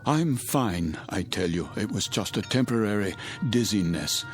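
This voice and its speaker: A low-voiced, disoriented gentleman passenger, halting and evasive in his formal speech, as if unsure of himself. It is radio drama with music faintly behind. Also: expressive. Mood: confused, flustered. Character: dazed, uneasy male ship passenger, evasive and disoriented.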